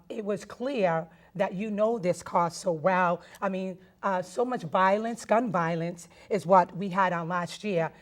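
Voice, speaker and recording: A woman hosting a public-access TV talk show, enthusiastic and a little repetitive as she introduces her guest. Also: on the low side. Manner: enthusiastic, repeats herself, short affirmations.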